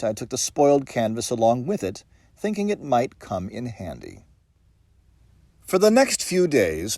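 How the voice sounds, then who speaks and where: A man reading a ghost story as dramatic narration, with measured, theatrical phrasing and deliberate pauses. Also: medium pitch, a little mellow, no room sound. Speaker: audiobook reader.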